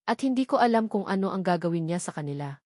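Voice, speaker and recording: A woman's voice: fairly low pitch, expressive, quick pace, a little mellow, no room sound, studio quality. A woman voicing a frightened, protective young woman in a dramatic story reading. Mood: anguished, fearful.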